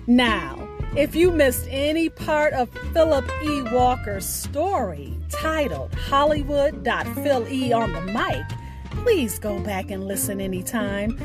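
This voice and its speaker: Female podcast host, higher register, very unhurried, deliberate delivery of scripted announcements and verse, music underneath. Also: animated. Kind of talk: scripted podcast outro with spoken poetry.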